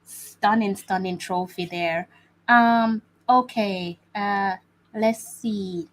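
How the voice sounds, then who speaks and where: Woman hosting a casual live stream, rambling and repeating herself, mid-pitched voice. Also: drawn-out words. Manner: chatty, repeats phrases, many fillers. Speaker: host. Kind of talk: live watch-along commentary.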